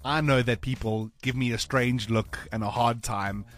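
A South African man hosting a breakfast radio show, chatting in a lively, expressive way and laughing easily. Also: medium pitch, moderate pace, soft background music. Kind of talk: casual radio chat. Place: radio studio. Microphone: studio microphone.

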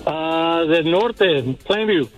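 Blunt caller. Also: male voice, on the high side, animated, extremely slow, soft background music. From Chihuahua, Mexico. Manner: blunt, dismissive, short answers. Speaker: radio show caller.

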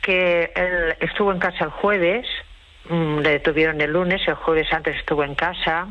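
A tired woman interviewed by phone, giving a personal account in a halting, reflective way. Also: low-pitched, fairly even intonation. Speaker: interview guest, host to migrants. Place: remote phone call. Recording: muffled, band-limited phone call.

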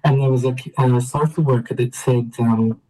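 An Australian man from Melbourne, a radio interview guest telling his life story in a relaxed way, with many ums and easy laughs. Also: medium pitch, normal speed, low-quality recording. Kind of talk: conversational storytelling.

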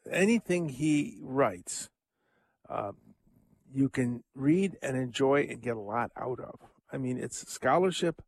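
Older man, radio host, casual rambling Bible talk, mid pitch, dry close recording. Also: gravelly, no echo. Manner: rambling, chuckling asides, many fillers. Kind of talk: casual scripture commentary.

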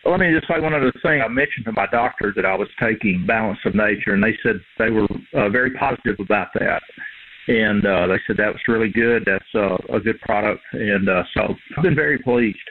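A man giving a hesitant, halting customer testimonial. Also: on the low side. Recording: phone-line audio.

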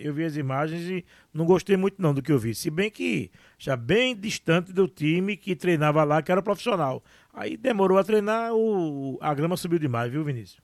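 Joking Brazilian sports co-host, dry sound. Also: male voice, fairly high pitch, dry recording. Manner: joking, animated, teasing. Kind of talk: casual sports talk banter.

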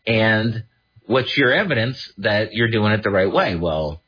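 A husky, fairly low-voiced man talks through his own radio show, casual and animated, now and then mocking others in a put-on voice. The sound is dull and lo-fi, like an old radio broadcast. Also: measured pace. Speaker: radio show host and estate attorney.

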